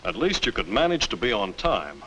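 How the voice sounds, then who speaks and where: A man's voice: fairly low pitch, very animated, moderate pace, pushed and bright, poor audio. An actor playing a reproachful, lecturing father in 1950s educational film style. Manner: stern, lecturing. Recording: hissy old 1950s film soundtrack.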